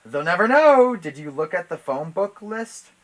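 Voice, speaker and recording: A man's voice: high-pitched, very animated, normal speed, voice pushed up. A man voicing a murderous woman butcher in a drama script, exaggerated and falsely innocent. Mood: slyly sinister. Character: brazen murderous woman butcher, sweetly sinister.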